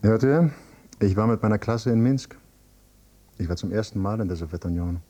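A man's voice: normal speed, warm tone, natural voice. A man playing a brooding, bitter adult son visiting his parents in a German radio drama, insistent and given to listing things. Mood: insistent, accusing. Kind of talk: German radio drama, alternating dialogue and inner monologue. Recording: rumbly, noisy cassette copy of radio play.

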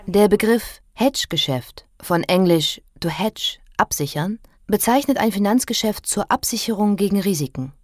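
An actress playing a composed, factual announcer reading scripted financial explanations and news. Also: female voice, medium pitch, expressive, normal speed, few pauses, dry recording, studio quality, natural voice.

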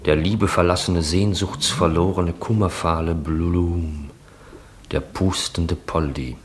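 An actor as the narrator of a German radio drama from the 1960s, delivering literary scene descriptions in a measured way. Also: male voice, low voice, fairly even intonation, slight background noise. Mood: measured, descriptive. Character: narrator describing a grotesque night town.

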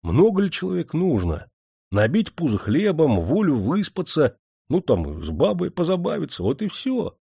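A man acts out a cheeky peasant manservant from a historical novel. The voice is folksy and mocking, pushed high and loud, with big swings in pitch. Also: wildly swooping pitch, moderate pace, creaky, husky, dry recording, clean studio sound, heavily acted. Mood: musing, wry.

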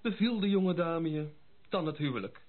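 An actor playing a sensible, loyal gentleman friend in a radio drama, reasoning in a matter-of-fact way. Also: fairly high pitch, lively intonation, moderate pace, natural voice. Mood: reasoning, sympathetic. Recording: muffled lo-fi radio play recording.

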